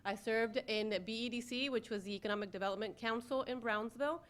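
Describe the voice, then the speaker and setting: A woman's voice: fairly high pitch, fast talker. A middle-aged woman from Ecuador and Florida, giving a self-introduction speech at a public meeting. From born in Ecuador, raised in Florida. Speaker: newly hired city communications director, former newscaster. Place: city commission meeting room.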